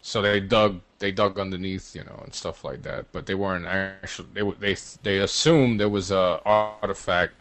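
A man's voice: low-pitched, steady tone, moderate pace, hardly any pauses, a bit bright, no room sound. A man co-hosting a casual gaming talk show, speaking in a slangy, joking way and often repeating his words. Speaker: podcast co-host. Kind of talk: casual gaming chat, reading out listener ideas.

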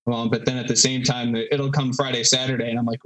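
A man in his thirties chatting casually as a podcast guest, halting with many fillers and self-deprecating jokes. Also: mid-range voice, level tone, fairly bright tone, poor audio. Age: 30s. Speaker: solo podcast host, guest.

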